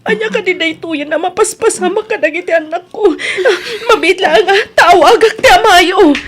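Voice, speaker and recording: A woman's voice: very high voice, moderate pace. A woman playing an anxious, tender, devout mother in an Ilocano radio drama, with an Ilocano accent and a plaintive, fretful delivery. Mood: alarmed, fretting.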